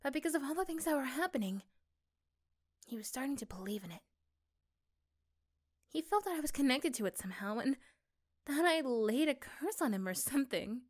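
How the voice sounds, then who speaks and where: A woman playing an affectionate, reassuring young girlfriend in a soft, gentle ASMR roleplay. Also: high voice, lively intonation, normal speed, pauses often. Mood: storytelling. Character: affectionate, reassuring young girlfriend chatting intimately to her partner.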